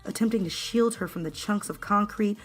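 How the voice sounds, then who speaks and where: A woman's voice: mid-range voice, fairly quick. A woman reading scripted fan fiction as a dramatic narrator. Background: background music.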